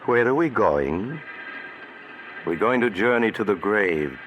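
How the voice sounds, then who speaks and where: A man voicing a sinister, calm narrator in a 1940s radio drama. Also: background noise. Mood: ominous. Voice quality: low, measured, ominous delivery. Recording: old radio broadcast with music behind.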